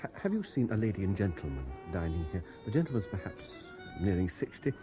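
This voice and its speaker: An actor in a radio drama playing a haunted, obsessive French man, anxious and intense, with a hesitant, halting delivery. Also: low-pitched, sing-song, over music. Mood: nervous, probing. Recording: 1970s radio drama broadcast.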